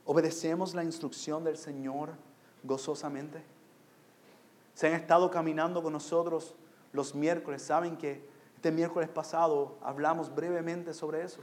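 Male preacher, high-voiced, native English speaker. Oratorical sermon delivery, deliberate phrasing, shifts from hushed to forcefully proclaimed. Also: fairly even intonation. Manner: oratorical, swinging from hushed lines to loud emphatic proclamation; deliberate phrasing with rhetorical questions. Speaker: pastor preaching to a congregation. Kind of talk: expository sermon.

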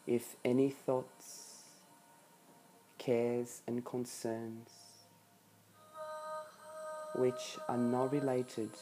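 Meditation guide, hushed and soothing, steady even pitch, unhurried, long pauses, soft background music. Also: poor audio. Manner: soothing, hushed delivery with long pauses between short phrases.

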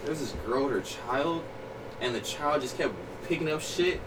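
A young man co-hosting a casual podcast chat, excitable and quick to laugh, telling stories. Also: medium pitch, far from the mic. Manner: excitable, storytelling, laughing often. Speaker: podcast co-host. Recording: off-mic with noisy background.

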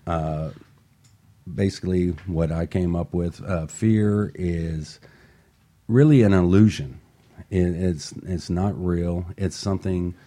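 A man hosting a motivational radio talk show, chatting casually and upbeat with a co-host in a studio. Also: sits low. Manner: upbeat motivational, relaxed with fillers.

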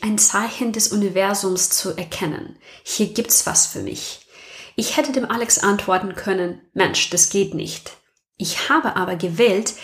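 A young woman who speaks German as a non-native, hosting her podcast in an earnest, reflective monologue and putting questions to her listeners. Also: mid-range voice. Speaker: podcast host.

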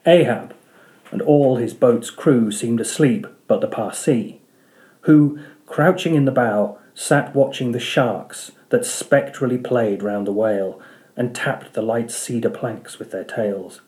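A man narrates a literary audiobook in a dark, mellow voice. His pitch sits in the middle of the male range, and the delivery is scripted storytelling. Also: a little slow, roomy sound. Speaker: audiobook reader.

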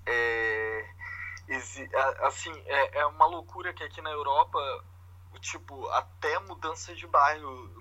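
A man's voice: medium pitch, pushed and bright, poor audio. A Brazilian man chatting casually and informally in Brazilian Portuguese, telling anecdotes with plenty of slang, as a podcast host. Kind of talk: casual conversational chat.

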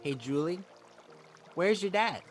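A man's voice: high-pitched, lively intonation, slow, over music. A man playing an odd, blunt young boy in a comedy radio play, talking earnestly and blurting things out.

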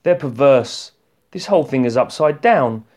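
A man voicing an exasperated wife, pitched up. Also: animated, normal speed, darkish tone, natural voice. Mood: indignant. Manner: indignant, emphatic. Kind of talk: audiobook-style novel reading.

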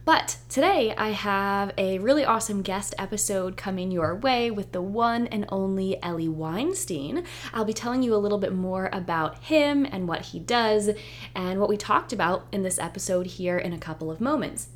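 A woman hosting her own podcast as a dietitian, a little hoarse and close to losing her voice, talking in a chatty, upbeat and reassuring way. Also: medium pitch, lively intonation, moderate pace. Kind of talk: solo podcast intro monologue.

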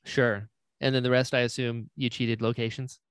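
A man's voice: medium pitch, little pitch movement, normal speed, a bit bright, clear, resonant tone, no echo. A man hosting a podcast, chatting casually in a laid-back way with short reactions and questions. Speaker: podcast host, interviewer. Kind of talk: casual chat.

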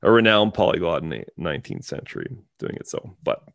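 A man's voice: sits low, sing-song, a little fast, creaky, no echo, clean studio sound. A man co-hosting a podcast, talking in a loose, rambling way with lots of fillers. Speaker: podcast co-host. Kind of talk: casual chat.

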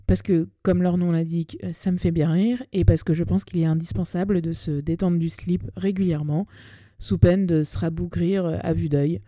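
Female podcast host, 30, Parisian accent. Lowish, very mellow voice; chatty, colloquial, self-deprecating solo delivery. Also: no room sound. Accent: Parisian French. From Paris, France. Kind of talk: personal monologue podcast, semi-scripted narration. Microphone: close podcast microphone.

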